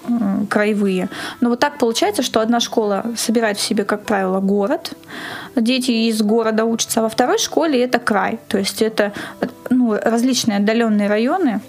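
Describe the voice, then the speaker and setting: A woman's voice: on the high side, a little fast, darkish tone. A woman speaking as a talkative guest in a conversational radio interview, explaining things in detail.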